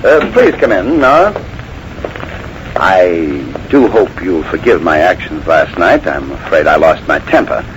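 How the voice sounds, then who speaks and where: An actor playing a menacing, short-tempered, scheming husband and playwright in a 1940s radio mystery. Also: male voice, sits low, sing-song, unhurried. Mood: smooth, menacing. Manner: smooth veiled threats swinging to irritated insistence. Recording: noisy vintage 1940s radio broadcast.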